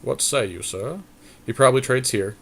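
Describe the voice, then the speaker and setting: A man's voice: mid-range voice, moderate pace, vocal fry, a bit bright. A man co-hosting a podcast, giving casual commentary on a card game as he thinks his moves out aloud. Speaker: podcast co-host playing an online card game.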